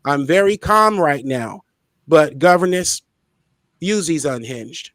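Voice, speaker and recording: A man's voice: on the high side, animated, takes it slowly, a bit bright, no echo, clean studio sound. An outspoken, playful man hosting a casual interview and talking about himself. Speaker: interviewer, show host.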